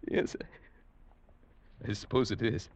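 An actor playing a haunted, desperate man in a 1940s radio drama, halting, intense and brooding. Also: lightly acted. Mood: brooding, resolved. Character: haunted, desperate man clinging to his sanity. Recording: muffled vintage radio, music behind.

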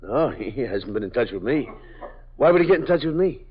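An actor playing a nervous, evasive small-time parolee who stammers and pleads, in a 1950s radio drama. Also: male voice, mid-range voice, very animated, fairly quick, breathy edge. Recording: dull lo-fi old radio broadcast.

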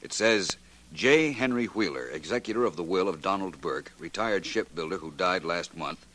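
An actor playing a suave, dryly witty American detective, measured and articulate, in a 1940s radio drama. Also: male voice, deep voice. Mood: matter-of-fact. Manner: measured, articulate, gently ironic.

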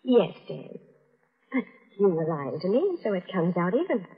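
An actress playing a scheming, composed fiancée, deliberate and theatrical, in an old-time radio suspense drama. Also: female voice, on the low side, very animated, slightly rough, heavily acted. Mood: cold, calculating. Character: scheming fiancée, sweetly coaxing, coolly calculating. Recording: dull, lo-fi old radio recording.

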